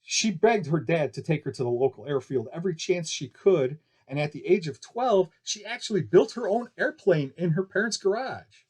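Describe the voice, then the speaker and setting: Man playing a showy, husky circus barker. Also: fairly high pitch, expressive, normal speed, hardly any pauses, a little mellow. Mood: folksy, excited. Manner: declamatory, folksy showman patter. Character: showy male flying-circus barker with megaphone, grandly upbeat. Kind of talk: ballyhoo announcing in an audiobook story.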